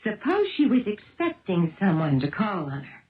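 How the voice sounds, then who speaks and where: Female amateur sleuth, woman acting: insistent, emphatic, very expressive radio-play delivery over a narrow, muffled old recording. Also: medium pitch, normal speed, lightly acted. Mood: determined, insistent. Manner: pressing, emphatic, theatrical. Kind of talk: 1970s radio drama acting.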